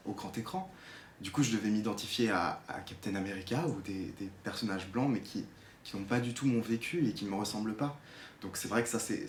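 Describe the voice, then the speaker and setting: A twenty-year-old man from Geneva gives an unscripted personal testimony, hesitant and searching for words as he explains. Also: on the low side. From Geneva, Switzerland. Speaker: drama student giving a testimony. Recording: echoey room with faint noise.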